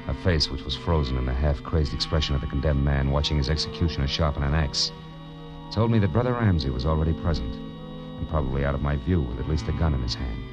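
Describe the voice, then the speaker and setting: Low-voiced hard-boiled American detective. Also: male voice, quick pace. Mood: grim, wry narration. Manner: tough, sardonic, punchy delivery. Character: hard-boiled wisecracking male private detective narrating his case. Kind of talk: 1940s-50s radio detective drama acting, clipped noir narration. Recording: dull vintage radio recording with music.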